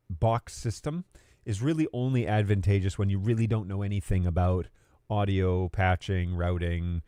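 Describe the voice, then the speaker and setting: A man's voice: fairly low pitch, dark tone, no echo, clean studio sound. A man giving an unscripted, conversational tech explainer, thinking aloud and rephrasing as he goes. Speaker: presenter of a tech explainer video.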